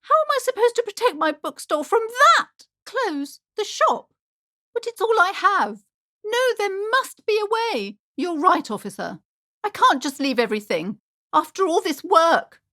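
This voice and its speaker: A woman's voice: high voice, fairly bright tone, no echo, clean studio sound, heavily acted. An actress playing an anxious, superstitious, preachy woman bookshop owner in a video game, speaking in a theatrical, fretful way. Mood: resolute. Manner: theatrical, fretful, swinging between gushing and alarm. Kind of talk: dramatic video game character dialogue.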